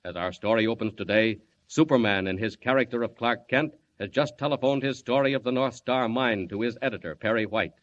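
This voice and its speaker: Dramatic male radio serial narrator. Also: mid-range voice. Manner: dramatic, measured announcer delivery. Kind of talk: 1940s radio narration, scripted. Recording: dull old radio recording.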